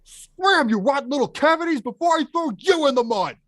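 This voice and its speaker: A woman playing a gruff, hot-tempered big lug of a man in an animated film table read. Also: high voice, fairly quick, dry recording, clean studio sound. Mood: angry. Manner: grumpy, blustering.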